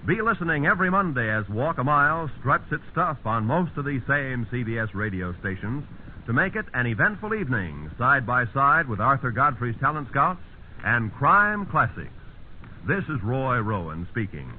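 A man's voice: mid-range voice, sing-song, normal speed, slight background noise. A man announcing for 1950s network radio in a polished, emphatic manner. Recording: tinny 1950s radio recording. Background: faint music.

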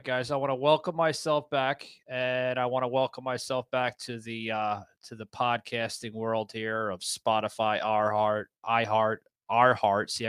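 A man's voice: mid-range voice, a bit bright, smooth, no echo, clean studio sound. A man hosting a casual podcast, rambling and upbeat, with lots of fillers and repeated words. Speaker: podcast host.

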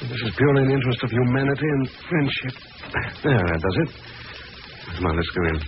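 An actor playing a suave, shrewd amateur sleuth with an American accent and an assured, urbane manner in 1940s radio drama style. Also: male voice, medium pitch, animated, fast talker, close to an everyday voice. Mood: intent, brisk. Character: suave, shrewd amateur sleuth, confident man about town. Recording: muffled, noisy vintage radio recording.

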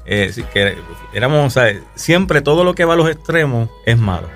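A man with a Puerto Rican accent gives his testimony on a radio show, speaking in an easy, halting way full of fillers and restarts. Faint music plays softly beneath him. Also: mid-range voice. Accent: Puerto Rican Spanish. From Puerto Rico. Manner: relaxed, hesitant, many fillers and restarts. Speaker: guest musician.

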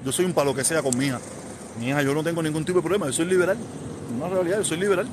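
A man's voice: on the high side, racing, noisy background. A man in his forties from Havana, speaking with a Cuban accent, chatting casually and rambling on a live stream, repeating himself and answering viewer comments. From Cuba. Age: 40s.

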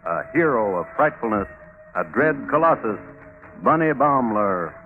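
Wry old-radio crime narrator. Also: male voice, mid-range voice, big pitch swings. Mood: dramatic, deliberate. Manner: dry, deliberate, wry. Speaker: host and narrator. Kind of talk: scripted narration, 1950s radio drama. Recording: old narrowband radio recording, music behind.

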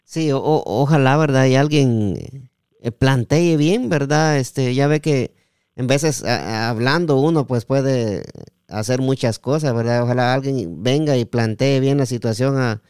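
Smooth-voiced Guatemalan man hosting a podcast. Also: mid-range voice, a little mellow, no echo, studio quality. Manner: halting, repeats words, seeks agreement with tag questions. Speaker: podcast host. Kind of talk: casual podcast interview.

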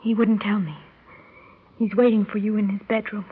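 An actress playing an earnest young woman, a rich man's daughter, pleading softly in an old radio drama. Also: mid-range voice, little pitch movement, normal speed, slightly rough. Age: young adult. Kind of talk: 1960s radio drama acting. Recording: dull lo-fi old radio recording.

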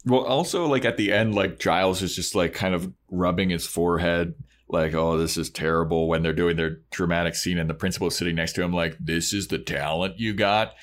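A man's voice: sits low, steady tone, a little fast, few pauses. A man hosting a podcast, chatting casually and informally about a TV show. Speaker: podcast host.

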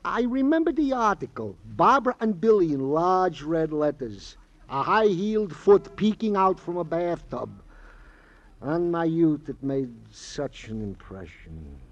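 A man's voice: a little high, very animated, measured pace, no echo. An actor playing a wordy, wistful police sidekick in a 1950s radio crime drama, savoring his oddly formal phrases theatrically. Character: wistful, florid, wordy male police colleague, eager to please. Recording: dull, muffled old radio recording.

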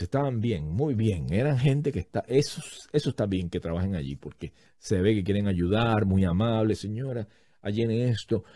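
A man's voice: on the low side, warm tone, no room sound. A man telling a story in a casual, comedic way, animatedly acting out what other people said.

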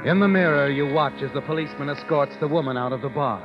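An actor playing a sly, knowing narrator who needles in an insinuating way, in an old-time radio mystery. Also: male voice, a little high, fast, lightly acted. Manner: insinuating second-person address. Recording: muffled vintage radio broadcast. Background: music.

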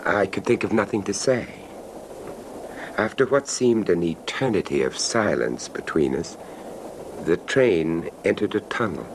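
An actor playing an attentive, probing male train passenger who listens and narrates in an old-time radio drama. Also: full character voice. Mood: sombre. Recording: noisy vintage radio drama, vehicle rumble behind.

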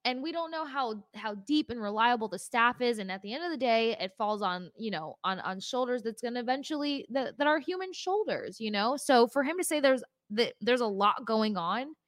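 A woman's voice: fairly high pitch, animated, normal speed, hardly any pauses, dry recording, clean studio sound. A woman co-hosting a sports podcast, giving casual, conversational analysis and reaction with emphatic points.

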